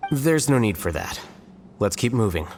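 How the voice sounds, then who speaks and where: An actor playing a composed, aloof man in a video game, calm and matter-of-fact. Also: mid-range voice, moderate pace, lightly acted. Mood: unbothered. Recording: long reverb, loud music behind.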